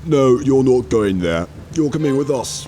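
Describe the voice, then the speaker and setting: A man's voice: mid-range voice, animated, unhurried, mellow, soft background music, lightly acted. A man playing a confident, scheming guard turned kidnapper in a radio drama, bossy and dismissive. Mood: menacing.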